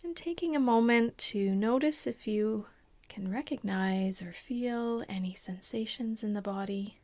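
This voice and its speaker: A woman meditation teacher leading a guided body scan in a calm, soothing, unhurried way, with a soft, breathy voice that often trails toward a whisper. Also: on the high side.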